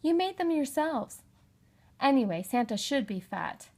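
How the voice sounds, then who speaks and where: A woman's voice: sits high, very animated, unhurried, darkish tone, voice pushed up, exaggerated voice. Woman reading as a kind, reassuring storybook mother. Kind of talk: children's storybook character reading.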